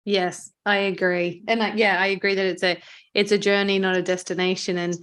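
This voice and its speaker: A woman with a mid-pitched voice hosts a conversational interview. She affirms the other person's points and puts her questions thoughtfully, sometimes feeling her way into the wording. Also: level tone, a little fast, fairly bright tone, clear, resonant tone. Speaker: podcast host, interviewer.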